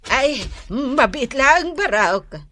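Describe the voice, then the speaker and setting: A woman's voice: high-pitched, sing-song, drawn-out words, bright, forceful tone, a little hiss or hum, heavily acted. Swooping, wheedling Filipino mother. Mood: sweetly imploring. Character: wheedling older mother who keeps asking favours. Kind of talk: Ilocano comedy radio drama acting.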